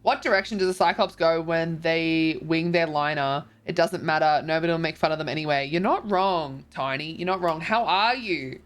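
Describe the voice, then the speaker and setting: A woman's voice: low-pitched, normal speed, hardly any pauses. Woman streamer, tired and stuffy-nosed, chatty and rambling, faint music underneath. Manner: chatty, rambling, many ums and likes. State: congested. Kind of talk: casual chat reading viewer messages.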